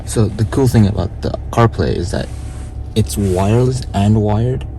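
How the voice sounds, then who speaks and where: A man's voice: on the low side, unhurried, gravelly, dark tone. A man giving a casual, laid-back, unscripted product demo in short trailing phrases, recorded inside a car. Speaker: product reviewer demonstrating a car head unit. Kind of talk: casual hands-on demo chat. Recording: noisy car interior, vehicle ambience.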